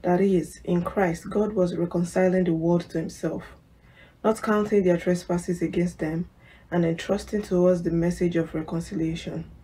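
Lady reading bible verses slow and steady, low mellow voice. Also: poor audio. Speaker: scripture reader. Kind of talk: scripture reading.

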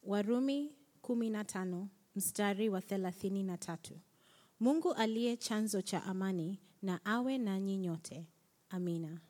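Woman, Swahili first language, mellow tone, steady prayer reading, long church reverb. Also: medium pitch, normal speed. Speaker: church member.

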